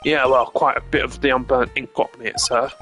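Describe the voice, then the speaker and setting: A man voicing an eager, bumbling, deferential police detective from a video game, excitable and quick to say sir. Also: mid-range voice, close to an everyday voice. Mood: sheepish eager. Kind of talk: hobby voice acting of a video game. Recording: music behind the voice.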